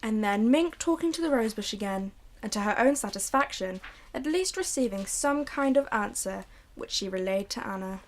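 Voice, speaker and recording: A woman's voice: medium pitch, animated. A young woman narrating and announcing in a recreated 1940s radio drama. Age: young adult. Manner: measured, storytelling.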